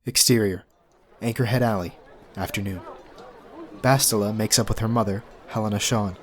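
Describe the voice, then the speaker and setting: Low-voiced man narrating scene directions. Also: steady tone, moderate pace. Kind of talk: scripted narration of screenplay directions. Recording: faint background noise and ambience.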